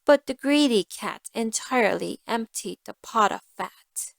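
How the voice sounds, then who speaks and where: A woman reading a scripted fairy tale for language learners, enunciating carefully and deliberately. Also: fairly high pitch, lively intonation, gravelly, no room sound. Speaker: storyteller.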